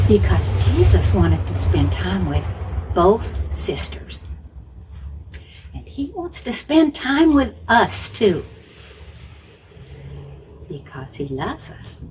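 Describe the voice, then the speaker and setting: A woman's voice: medium pitch, a little mellow, low-quality recording. A woman telling Bible stories to young children in simple phrases, repeating words for emphasis and putting questions to listeners. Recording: faint background noise.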